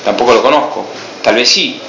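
Sacerdote argentino, sala con eco. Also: male voice, medium pitch, fairly quick, gravelly, reverberant, dull audio, background noise. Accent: Argentine Spanish, Buenos Aires. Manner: emphatic rhetorical questions answered with a firm no. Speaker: missionary priest. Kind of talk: informal talk to a small group.

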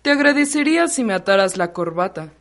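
An actress voices a polite rich young son in a radio soap. The voice sits at a middle female pitch, formal and courteous in delivery. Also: big pitch swings, fairly quick. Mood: eager, courteous. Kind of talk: radio soap opera acting.

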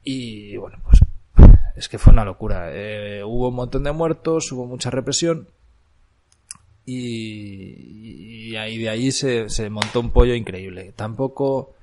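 A man in his thirties from Barcelona, hosting a podcast and reflecting on his life abroad in an unhurried monologue full of fillers. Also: on the low side, mellow, slight background noise. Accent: Barcelona Spanish. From Catalonia, Spain. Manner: reflective, frequent fillers and restarts. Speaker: podcast host. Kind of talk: personal storytelling monologue. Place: Granada, Nicaragua.

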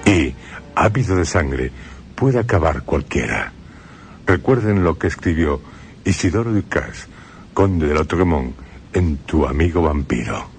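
Male narrator, Spanish accent, radio studio; deep voice, slow and measured, ominous tone. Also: animated, slight background noise. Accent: Spain Spanish.

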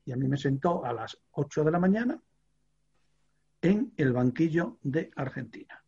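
Gravelly older Spanish man telling stories. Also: fairly high pitch, moderate pace, lo-fi sound. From Extremadura, Spain. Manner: animated anecdote with many fillers and restarts. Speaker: guest, veteran sports radio reporter. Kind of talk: storytelling in a studio chat.

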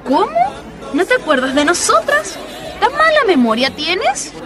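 A woman's voice: very high voice, huge pitch swings, unhurried, crowd noise, full character voice. A woman playing a young bride who was left at the altar and now teases the man with sarcasm, in a radio drama. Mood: sarcastic. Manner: teasing, sarcastic. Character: jilted young bride, mocking and vengeful. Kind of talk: community radio drama acting. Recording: radio drama with music behind.

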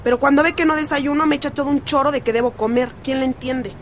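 A woman's voice: sits high, steady tone, fast talker, slight background noise, natural voice. An actress playing a fed-up, opinionated teenage girl with a Mexican accent, griping in slang in a radio soap. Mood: exasperated. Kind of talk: radio soap opera acting.

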